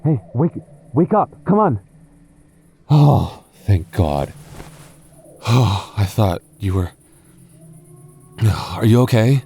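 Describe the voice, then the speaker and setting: Man, young adult, very low voice, boyfriend roleplay, teasing, stammers when nervous. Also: sing-song, slow, long pauses, dark tone, breathy edge. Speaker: voice actor playing a goth boyfriend.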